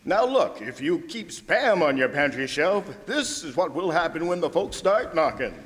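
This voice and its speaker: A man acts as a perky radio pitchman from the 1940s, high-voiced and swooping with enthusiasm. His theatrical sales delivery carries through a reverberant hall. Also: big pitch swings, moderate pace, fairly bright tone. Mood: eager selling. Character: perky old-time radio pitchman selling canned meat. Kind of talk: 1940s radio commercial announcing. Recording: echoey hall stage, faint noise.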